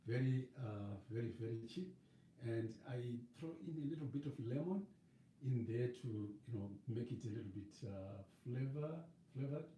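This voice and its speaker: A middle-aged man with a Zimbabwean accent, an evangelist chatting on a live stream, slightly hoarse and rambling with many fillers and restarts. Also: mid-range voice, normal speed, warm tone. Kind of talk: casual live-stream chat. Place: living room with a leather sofa and shelves. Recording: roomy, distant webcam sound with faint noise.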